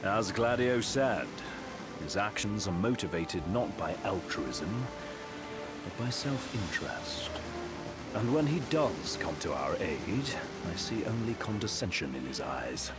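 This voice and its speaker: A refined, low voice for an analytical game adviser. He is formal and dry, yet varies his pitch a great deal. Loud music sits behind the speech. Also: lively intonation, unhurried. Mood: wary. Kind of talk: video game cutscene acting.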